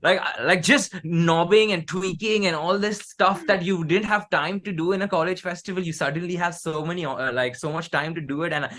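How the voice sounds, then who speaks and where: A man's voice: high-pitched, steady tone, fairly quick, few pauses, a bit bright. A man in his thirties with an Indian accent, chatting casually as an interview guest. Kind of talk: casual chat.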